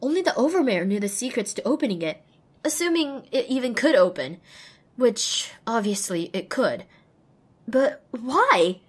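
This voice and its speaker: A woman's voice: fairly high pitch, big pitch swings, moderate pace, slightly rough. A woman playing a small, anxious outcast pony mare who tells her own story expressively and introspectively in an audio drama. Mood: distressed, puzzled. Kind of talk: first-person dramatic audiobook narration.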